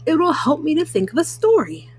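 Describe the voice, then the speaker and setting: A woman's voice: high voice, huge pitch swings, fairly quick, a little mellow, no echo, voice pushed up. A woman voicing a well-meaning, fretful male toad from a storybook, earnest and a little fussy.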